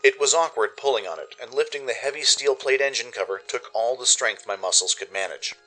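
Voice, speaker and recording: A man's voice: medium pitch, a little fast, bright, forceful tone, faint music behind, natural voice, rough recording. A man voicing an anxious, earnest young pony heroine in an audio drama, talking to herself in nervous self-doubt. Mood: earnest, reluctant. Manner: nervous, self-reassuring inner monologue. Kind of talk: first-person audio drama narration.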